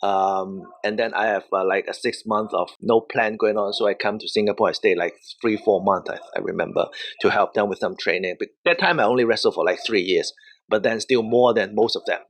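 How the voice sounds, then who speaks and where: A man's voice: fairly low pitch, fairly quick. A man from Hong Kong, a native Cantonese speaker with a Hong Kong accent, telling stories casually as an interview guest. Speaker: professional wrestler, interview guest. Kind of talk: casual storytelling.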